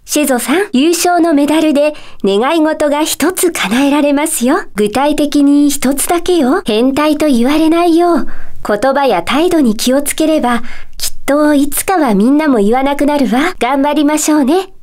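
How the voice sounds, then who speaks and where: A woman acts out a gentle female teacher of magic from a puzzle video game. Her voice is very high, and she speaks with courteous, composed phrasing. There is a calm air of mystery to her kindly manner. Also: normal speed, lightly acted. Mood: encouraging, composed. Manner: polite, soft feminine phrasing, composed and kindly. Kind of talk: Japanese video game character dialogue.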